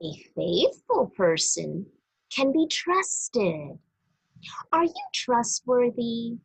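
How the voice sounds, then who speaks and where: A woman reading a religious children's book aloud, teaching deliberately and expressively and speaking directly to young listeners. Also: a little high, very animated, gravelly, husky, low-quality recording. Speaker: storybook reader for a church.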